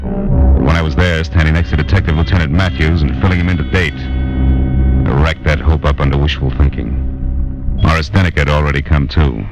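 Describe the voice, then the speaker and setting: A low voiced american noir detective, tough n wry, old radio show w music behind. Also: male voice, fairly quick, muffled recording. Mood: brooding, wry. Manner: hardboiled, wry, clipped delivery. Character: tough, world-weary male private detective narrator.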